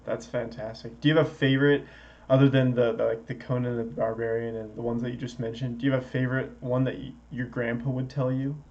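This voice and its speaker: A man's voice: mid-range voice, level tone, dull audio. A man hosting an interview, chatting casually and laughing easily as he asks questions. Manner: friendly, laughs easily, quick agreeing yeahs. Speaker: interview host.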